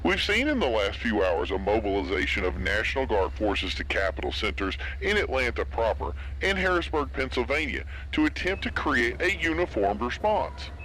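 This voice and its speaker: A man playing a formal, serious radio news announcer reading a measured emergency bulletin in an apocalypse audio drama. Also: mid-range voice, very animated, fairly bright tone. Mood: sober, formal. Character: sober male radio news announcer during zombie outbreak. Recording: noisy radio broadcast with background ambience.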